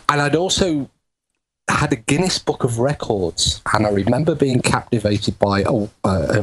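A middle-aged man from the Wirral, a guest speaking in a measured, hesitant way with many fillers. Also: lowish voice, slight background noise, low-quality recording. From England (Wirral). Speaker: guest, paranormal researcher. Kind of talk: conversational interview.

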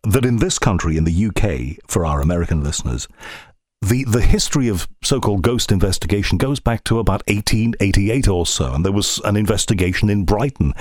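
A man from Liverpool with a Liverpool accent, hosting a conversational interview and chatting with quick, reminiscing asides. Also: on the low side, lively intonation, a little mellow, no echo, clean studio sound. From England (Liverpool). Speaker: podcast host and broadcaster.

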